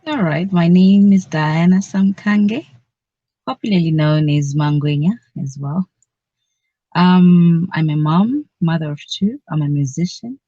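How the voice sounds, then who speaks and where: A woman's voice: on the low side, a little slow, mellow, no room sound, muffled recording. A woman from Zimbabwe, a guest on a radio interview, chatting and laughing often between phrases. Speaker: guest, cultural advocate.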